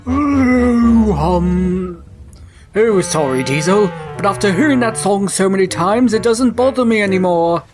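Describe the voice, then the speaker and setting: A man's voice: high-pitched, lively intonation, measured pace, music in the background. A man voicing a worried, tired steam engine in a cartoon dub, hesitant and stammering. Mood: flustered. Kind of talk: cartoon-style character dub.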